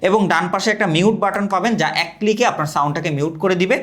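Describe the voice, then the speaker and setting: A young man from Bangladesh giving an energetic, scripted product review and sales pitch. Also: rapid-fire.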